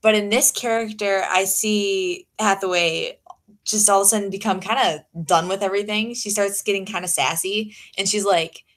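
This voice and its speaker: A teenage girl talking casually as a podcast guest, acting out quotes in an animated manner. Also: mid-range voice, moderate pace. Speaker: student actor, podcast guest. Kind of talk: casual chat.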